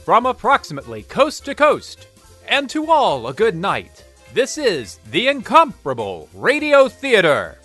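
Bright, hugely animated male announcer. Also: sits high, measured pace, soft background music. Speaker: show announcer. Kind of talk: big theatrical show opening.

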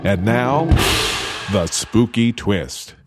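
A man playing an old-time radio announcer, smoothly reading a sponsor message. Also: on the low side, expressive, very slow. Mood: salesy. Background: loud music.

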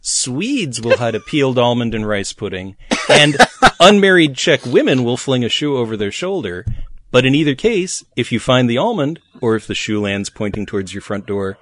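Wry male podcast host, lively pitch. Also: normal speed. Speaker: podcast host presenting trivia. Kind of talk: playful podcast chat.

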